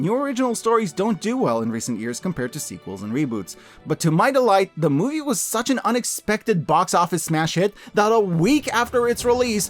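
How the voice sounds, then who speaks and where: A man narrating a scripted, opinionated review in an emphatic, conversational way with deliberate dramatic stresses. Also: high-pitched. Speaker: host of a year-end media review.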